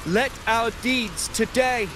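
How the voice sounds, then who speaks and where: Noble young arena fighter, high-ish and slow, earnest game speech, rainy noise behind. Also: male voice. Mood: rousing, earnest. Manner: declamatory, deliberate, formal. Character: noble earnest young male gladiator rallying comrades. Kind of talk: video game voice acting.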